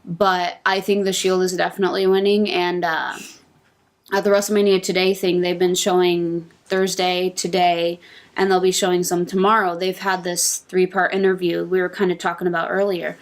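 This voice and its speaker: A woman's voice: lowish voice, level tone, normal speed. A woman speaking as a podcast panelist in casual discussion.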